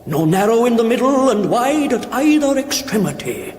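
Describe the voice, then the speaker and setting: An actor playing a pedantic, self-important master horn shaper in a comic radio fantasy, declaiming in pompous archaic speech. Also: male voice, very high voice, big pitch swings, moderate pace, darkish tone, a little hiss or hum. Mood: grandly boastful. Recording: echoey reverberant hall, faint music behind.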